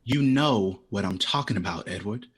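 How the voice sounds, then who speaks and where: A man's voice: fairly low pitch, expressive, normal speed, slightly rough. A man playing a proud, urbane, wounded jazz composer in a period audio drama, speaking in terse, pointed, wry lines. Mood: hurt, indignant. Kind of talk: period radio drama acting.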